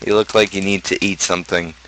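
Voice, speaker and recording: A man's voice: deep voice, little pitch movement, quick pace, fairly bright tone, poor audio. A young adult man chatting casually on a livestream, rambling and opinionated as he rants. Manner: opinionated, ranting. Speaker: livestream host.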